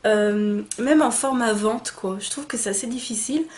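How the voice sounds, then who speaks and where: A woman's voice: on the high side, moderate pace, a little mellow. Chatty young woman with a cold. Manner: chatty and enthusiastic, many fillers and restarts. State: stuffy nose from a cold. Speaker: beauty vlogger. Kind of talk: casual unboxing chat to camera.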